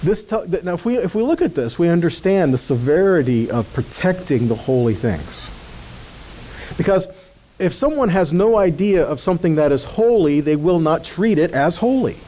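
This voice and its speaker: A man's voice: on the high side, very animated, moderate pace, mellow, slight background noise, poor audio. A man giving an informal scripture teaching in a conversational way, often checking in with listeners. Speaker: ministry teacher.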